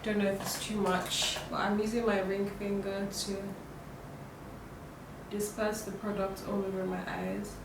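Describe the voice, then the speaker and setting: A young woman talks her viewers through a makeup routine in a relaxed, rambling way, her voice croaky from a cold. She is recorded in an echoey room from some distance away. A steady hiss of noise sits under her voice. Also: mid-range voice, a little slow. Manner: chatty, with many fillers and restarts. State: hoarse from a cold. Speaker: beauty vlogger. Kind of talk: casual makeup tutorial talk. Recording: roomy, distant, noisy home recording.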